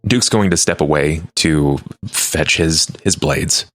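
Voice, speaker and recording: A man's voice: rumbling low, slow pace, fairly bright tone, no room sound, clean studio sound. A man talking out of character and describing actions as a player in a tabletop roleplaying game. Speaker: player on an actual play podcast. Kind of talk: casual roleplaying game discussion.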